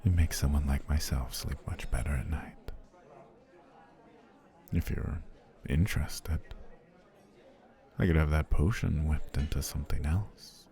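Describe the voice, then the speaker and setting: A man voicing a deep, gravelly potion seller. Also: a little slow, pauses often, full character voice. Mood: flattering. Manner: formal, courtly, flattering speech; drops into soft whispers. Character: smooth-talking male potion seller, formal and obsessive. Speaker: alchemist on a dinner date. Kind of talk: ASMR roleplay.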